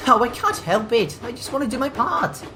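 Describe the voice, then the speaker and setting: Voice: medium pitch, sing-song, fairly quick, background noise, lightly acted. A performer voicing an eager, fussy male tank engine in a children's audio drama, with a hesitant, earnest manner.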